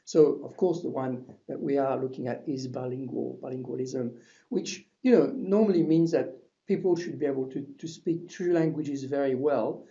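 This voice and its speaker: A man's voice: medium pitch, normal speed, mellow, muffled recording. A man with a French accent, a university French lecturer giving a webinar presentation, talking with frequent hesitations and restarts. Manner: hesitant, many restarts and fillers.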